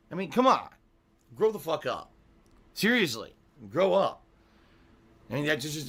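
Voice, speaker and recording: High-pitched man, ranting gameplay commentary, swearing, clear music underneath. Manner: pleading, frustrated, profane ranting. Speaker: gaming streamer.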